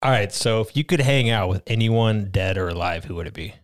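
A man's voice: fairly low pitch, a little fast. A man hosting an interview podcast, asking short curious follow-up questions in a friendly, easygoing way. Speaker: podcast host. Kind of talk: casual interview chat.

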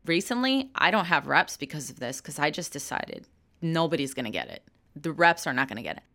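Low-voiced woman in her thirties. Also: very animated, fairly quick, a bit bright. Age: 30s. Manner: frank and open, laughs easily. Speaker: actor and sketch comedian. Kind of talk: candid interview answers.

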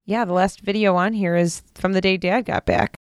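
An actress playing a gentle, shy, sweet young American sister, earnest and casual, in a naturalistic modern audio drama. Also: female voice, mid-range voice, fairly even intonation, moderate pace, no echo, clean studio sound, natural voice. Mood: quietly pleased.

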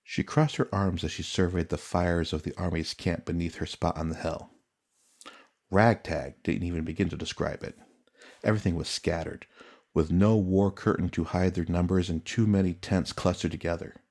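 A man reading a fantasy story as an audiobook narrator, in a measured, dramatic storytelling manner. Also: low-pitched, fairly even intonation, a little mellow.

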